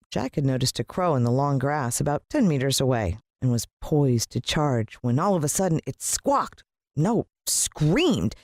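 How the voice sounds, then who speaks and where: An American woman narrating a children's story in an expressive, dramatic storytelling manner. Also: deep voice, no echo, studio quality. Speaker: audiobook narrator.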